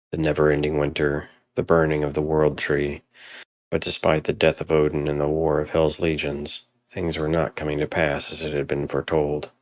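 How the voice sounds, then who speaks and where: A man narrating a dramatic tale with an extremely deep, creaky voice. His delivery is solemn, measured and restrained in its inflection, recorded close and dry. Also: dry recording. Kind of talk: scripted dramatic story narration.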